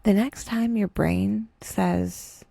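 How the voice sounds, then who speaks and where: Woman doing guided meditation, soft husky voice, really dry close sound, wry humor, takes her time. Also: mid-range voice, steady tone, slow pace, no room sound. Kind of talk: scripted guided meditation narration.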